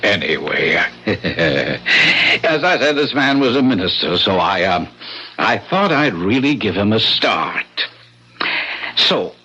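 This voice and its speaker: Eccentric old gentleman, husky and theatrical. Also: on the low side, big pitch swings, takes it slowly, full character voice. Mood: gleefully macabre. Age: older. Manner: fussy, genteel, theatrical, hesitant. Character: rich, eccentric, courteous old landlord with sinister charm. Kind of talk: old-time radio drama acting. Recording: dull vintage radio recording.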